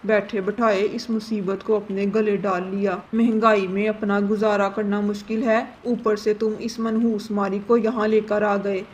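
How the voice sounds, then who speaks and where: A woman's voice: medium pitch, flat delivery, a little slow, hardly any pauses, a little hiss or hum, lightly acted. A woman voicing a mean, complaining aunt bird in a Hindi cartoon, nagging her husband. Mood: resentful.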